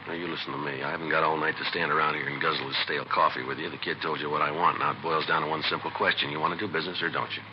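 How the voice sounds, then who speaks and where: An actor playing a terse, middle-aged police detective sergeant with deadpan, clipped delivery in a 1950s radio crime drama. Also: male voice, rumbling low, racing, few pauses, noisy background. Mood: hard, impatient. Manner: deadpan, clipped, matter-of-fact. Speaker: lead actor and narrator. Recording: dull vintage radio recording with music.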